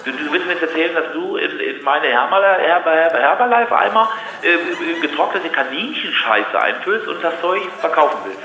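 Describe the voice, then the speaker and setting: A man's voice: fairly high pitch, expressive, fairly quick, sharp tone, reverberant, poor audio. A man arguing on a call in a blunt, confrontational, stammering way. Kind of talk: annoyed phone argument.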